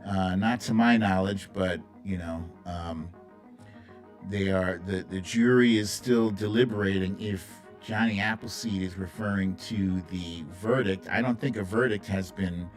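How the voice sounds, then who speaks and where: A man's voice: deep voice, fairly even intonation, measured pace, faint music behind. A man hosting a live stream, giving casual, opinionated political commentary in a blunt, conversational way.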